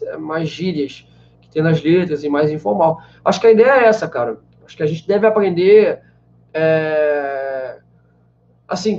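A man's voice: sits high, a little mellow, slight background noise. A man in his twenties, a language teacher from Brazil, chatting casually while he answers live-stream questions, full of fillers. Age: 20s. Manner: chatty, many fillers like "né" and "cara". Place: small room indoors with a banner behind. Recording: slightly dull lo-fi webcam sound.

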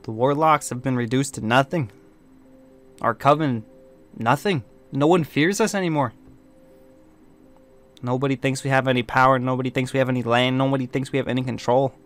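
A man's voice: mid-range voice, a bit bright, close to an everyday voice. A man playing a bitter, proud, suspicious warlock leader in an amateur game roleplay, brooding dramatically and snapping back with sassy slang.

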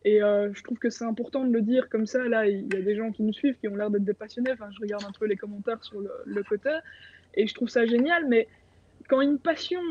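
A woman's voice: fairly high pitch, darkish tone, slight background noise. A woman in her thirties from Belgium, a racing driver chatting candidly and informally as a guest on a live video call. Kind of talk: casual live chat. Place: small room at home. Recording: muffled video-call audio.

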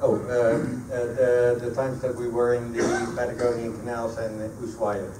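A Dutch-accented native Dutch man in his middle years fielding questions haltingly at a club evening, heard from the back of a reverberant room. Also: medium pitch, monotone. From Netherlands. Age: middle-aged. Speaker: guest speaker, sailor. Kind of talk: answering audience questions at a public talk. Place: meeting room at a sailing club. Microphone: handheld PA microphone, recorded by a camera across the room. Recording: echoey room, distant camera microphone, noisy.

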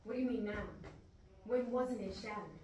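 A woman's voice: medium pitch, normal speed, darkish tone, close to an everyday voice. A woman playing a paranoid, volatile, reclusive brother in a stage scene, speaking in an agitated, insistent way. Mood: confrontational. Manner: agitated, pleading, insistent, repeating phrases. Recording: echoey room, distant mic, faint noise.

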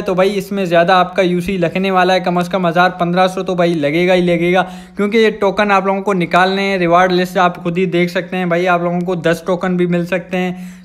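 High-pitched young Pakistani man explaining a game. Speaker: gaming channel host. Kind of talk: casual gameplay explainer voice-over.

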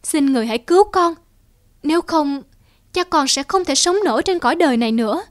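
A woman's voice: high voice, expressive, brisk, no echo, studio quality. A woman voicing a lovelorn young female fox in a cartoon fairy tale, pleading earnestly and plaintively. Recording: music behind the voice.

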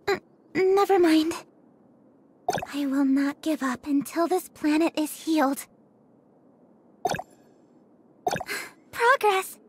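An actress voicing a gentle, earnest young nurse in a video game, with soft, polite and melancholy delivery. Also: female voice, sits high, a little hiss or hum. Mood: wistful, hesitant, then earnest, resolved. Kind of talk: video game character voice lines.

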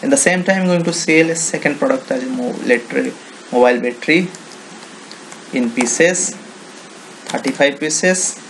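A man with an Indian accent presents an informal software tutorial. His mid-pitched voice has a noticeable creak, and he often repeats words in non-native English phrasing. The home-made recording carries faint noise behind him. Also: moderate pace, long pauses, rough recording. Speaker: software demonstrator.

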